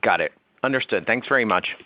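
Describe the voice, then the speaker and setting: A man's voice: fairly low pitch, animated, fairly quick. A man speaking as a financial analyst, asking conversational business questions on a conference call. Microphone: conference call line. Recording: dull, dry conference call audio.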